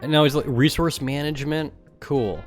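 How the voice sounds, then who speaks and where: A man's voice: fairly high pitch, normal speed, soft background music. A man doing casual, mocking livestream commentary, sometimes reading aloud in a sarcastic way. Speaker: streamer and gamer.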